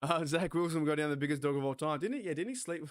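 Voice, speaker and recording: An Australian man hosting a sports watch party stream, rambling excitably, swearing and talking back to his chat. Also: a little high, fast talker. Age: 40s.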